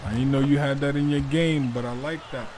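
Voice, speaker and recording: A man's voice: medium pitch, fairly even intonation, a little mellow, soft background music. A man role-playing a mic'd-up basketball player, calling out short hyped commands to his teammates over in-game chatter. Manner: hyped, urging teammates with quick short calls. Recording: noisy game audio underneath.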